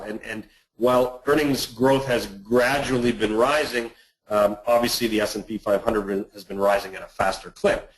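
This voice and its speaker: A man presenting on an investment webinar, speaking haltingly and often repeating words. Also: lowish voice, rough recording. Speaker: investment manager hosting a client webinar. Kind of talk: presentation on a conference call.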